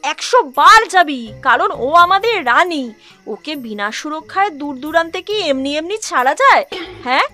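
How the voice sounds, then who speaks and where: A woman's voice: sits high, expressive, normal speed, pushed and bright. A woman voicing a brash, protective young friend in a cartoon. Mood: emphatic. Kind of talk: cartoon voice acting. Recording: music bed under voice.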